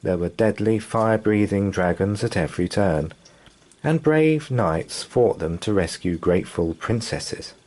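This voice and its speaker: A man reading a children's bedtime story aloud in an animated storytelling style. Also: lowish voice, measured pace.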